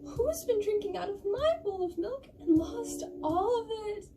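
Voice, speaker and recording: A woman voicing the small, upset littlest bear of a fairy tale, with squeaky, wailing complaints. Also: lively intonation, unhurried, music in the background, exaggerated voice.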